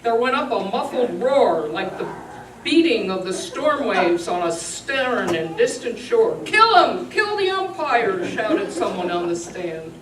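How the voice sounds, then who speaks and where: A woman giving a dramatic live poetry recitation in a theatrical, emphatic, deliberate storytelling delivery. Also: mid-range voice, animated. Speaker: performer giving a dramatic reading. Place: roomy indoor venue, recorded at a distance. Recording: echoey, noisy live room, distant mic.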